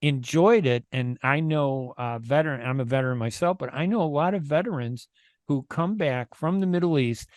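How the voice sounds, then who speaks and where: A man's voice: on the high side, normal speed, a little mellow, no room sound. A man hosting a radio talk show, chatting casually and thinking aloud with plenty of fillers and opinions.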